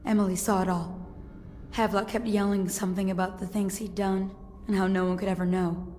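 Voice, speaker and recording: A woman's voice: medium pitch, flat delivery, fairly quick, a little mellow, close to an everyday voice. Woman as a shaken servant in long reverb. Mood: shaken, sombre. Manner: shaken, earnest recounting. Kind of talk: dramatic video game acting. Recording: long reverb, faint noise.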